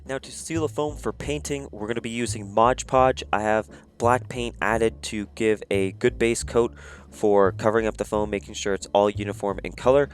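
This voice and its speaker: A sick man with a raspy, monotone voice giving step-by-step instructions in a voice-over for a craft tutorial. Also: on the low side, normal speed, a little hiss or hum. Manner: plain step-by-step instructions, many "you're gonna". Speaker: cosplay prop maker, channel host. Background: faint music.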